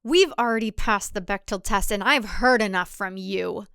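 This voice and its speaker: Woman voicing defiant heroine, controlled, firm, cold, decisive, clear voice, audio drama. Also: normal speed, a bit bright, no echo, clean studio sound, natural voice. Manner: low, controlled, firm delivery.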